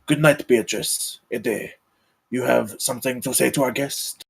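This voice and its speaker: Authoritative count, male actor, heavy fry and husky rasp, mid pitch, firm delivery for a drama table read. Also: unhurried, full character voice. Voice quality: gravelly, husky. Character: commanding count, male master of a household. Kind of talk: live table read of a screenplay.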